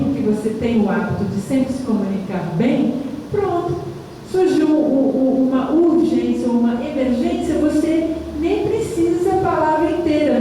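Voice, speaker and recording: A woman's voice: on the high side, warm tone. A Brazilian woman giving an informal educational talk, explaining conversationally with rhetorical questions and frequent checks with listeners. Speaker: lecturer. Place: large echoey indoor room. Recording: very echoey hall, distant mic, faint noise.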